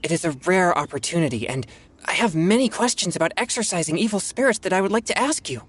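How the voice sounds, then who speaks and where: A man's voice: high voice, animated, fast talker, slight background noise. A man voicing an earnest, polite and modest teenage boy exorcist in a video game, with a hesitant manner. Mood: respectful. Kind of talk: video game character acting.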